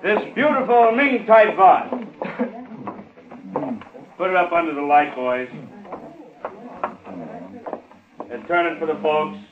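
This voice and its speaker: Man playing a high-voiced, coaxing old-radio auctioneer. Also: close to an everyday voice. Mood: showy, coaxing. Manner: drawn-out, coaxing sales patter. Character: showy, exasperated auctioneer working a reluctant crowd. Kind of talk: 1940s radio drama acting. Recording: tinny, noisy, echoey old radio recording.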